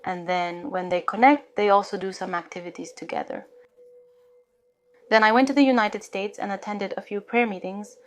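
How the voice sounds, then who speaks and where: A woman's voice: mid-range voice, steady tone, moderate pace. A woman from North Korea telling her life story in an earnest, reflective way during a casual chat.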